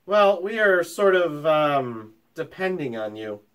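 A man voicing a plucky, curious young male mouse who talks in a brisk, chatty way. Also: on the high side, sing-song, fairly bright tone, heavily acted. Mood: earnest.

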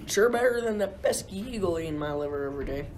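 A woman plays a defiant Titan who champions humans in a radio play. The delivery is wry and grumbling, with lively swings of pitch. Faint noise sits behind the voice. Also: fast talker, lightly acted. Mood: sardonic. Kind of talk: amateur radio play acting.

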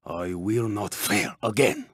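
An actor voicing a proud, intense, honour-bound racing car in a video game, with a Japanese accent, a raspy voice and clipped, formal delivery. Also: male voice, on the low side, takes it slowly, no room sound, studio quality, heavily acted. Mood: stern, indignant. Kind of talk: video game voice clips, short barks.

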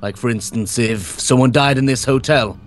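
A man's voice: mid-range voice, normal speed, close to an everyday voice. A man voicing a boastful, oblivious male monster hunter in a game roleplay, talking in a showy, rambling way.

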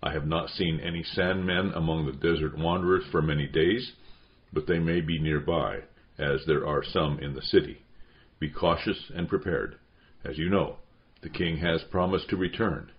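A vigilant watchman , acted out by a male narrator, speaks formally in a deep voice. His measured, cautioning words come as audiobook reading with a dull, lo-fi sound. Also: steady tone.

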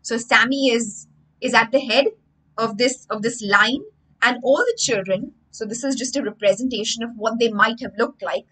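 A young woman hosts a livestream story lesson for children. Her voice sits fairly high and sounds very bright and crisp-edged. She speaks with theatrical emphasis and stops to spell out the meaning of words. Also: normal speed, poor audio. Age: young adult. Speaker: children's English teacher and storyteller. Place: indoor room with bookshelves.

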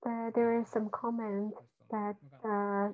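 A woman doing simultaneous interpretation on an online call, talking haltingly with frequent fillers and restarts. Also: mid-range voice, level tone. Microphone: video call. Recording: muffled video-call audio.

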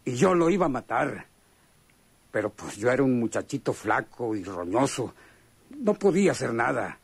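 Husky mexican country guy in a radionovela, chummy n bitter, rambles folksy. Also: mid-range voice, very animated, no room sound, heavily acted. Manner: colloquial, halting, folksy storytelling. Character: rough rural Mexican man from poor peasant family, chummy, bitter. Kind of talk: radionovela acting.

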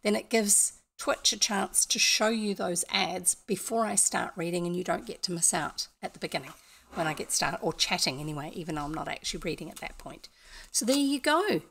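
Older New woman, creaky voice. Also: fairly low pitch, animated, brisk, fairly bright tone. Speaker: livestream host and storyteller. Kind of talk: casual chat to livestream viewers. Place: indoor room, home streaming setup.

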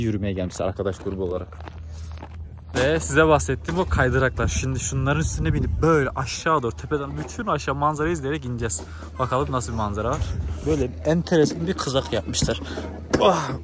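A young man chatting casually and enthusiastically to his phone camera outdoors, in an informal, exclamatory way as a travel vlogger. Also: mid-range voice. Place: outdoors in a mountain village and hillside. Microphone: phone at arm's length. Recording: outdoor phone audio with ambient noise.

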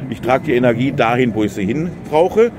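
A man's voice: medium pitch, lively intonation, brisk. A man in casual conversation at an outdoor fair stand, chatty and often tagging on "ne?". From Germany. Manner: chatty, keeps adding "ne?". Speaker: vlogger interviewing an exhibitor. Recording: outdoor recording with clear background noise.

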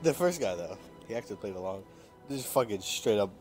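A man's voice: fairly low pitch, lively intonation, brisk, a little mellow, soft background music. A young man making prank calls as a video host, chatting casually in a slangy, jokey way, his voice cracking at times. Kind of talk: casual prank-call chat.

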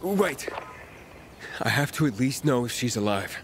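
An actor playing a guarded, determined young pilgrim in a video game, speaking earnestly and insistently. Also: male voice, mid-range voice, big pitch swings, a little slow, background noise. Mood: pleading. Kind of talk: video game dialogue acting.

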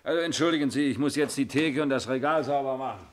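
A man's voice: mid-range voice, quick pace, close to an everyday voice. An actor playing a guarded, cheeky barman under police questioning in a German radio crime drama, explaining in short bursts. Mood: defensive.

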